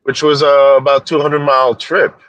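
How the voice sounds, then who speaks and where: Canadian male co-host, bright voice, halting, casual. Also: mid-range voice, level tone, no echo. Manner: halting, restarts often. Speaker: rideshare podcast co-host. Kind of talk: casual live-stream chat.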